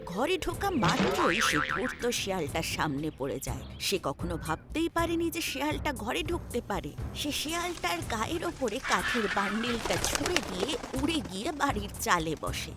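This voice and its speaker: A woman narrating a children's story in an expressive, scripted storytelling style. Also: on the high side, normal speed, hardly any pauses. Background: loud music.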